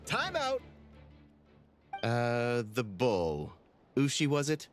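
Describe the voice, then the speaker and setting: A man's voice: medium pitch, huge pitch swings, very slow, soft background music, full character voice. A man playing a strict tournament referee in a video game, making rulings in an official, announcing manner. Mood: stern. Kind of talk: game voice acting.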